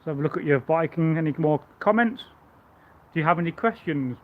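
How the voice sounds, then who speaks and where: Loud chatty man on tinny live stream. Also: fairly high pitch, animated, brisk, a little hiss or hum. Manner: rambling, chatty, stammering restarts. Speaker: live-streaming Viking reenactor. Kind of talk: casual live stream chat and demonstration.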